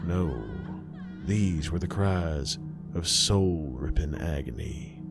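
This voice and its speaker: A man's voice: low voice, slow pace, loud music behind the voice. A man narrating a scripted horror tale in a dramatic, folksy storytelling manner.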